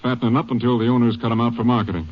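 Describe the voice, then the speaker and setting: A man acting a practical Texas Ranger captain in a 1950s radio drama, with folksy, deliberate authority. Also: mid-range voice, little pitch movement, natural voice. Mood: thoughtful. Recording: muffled old radio recording.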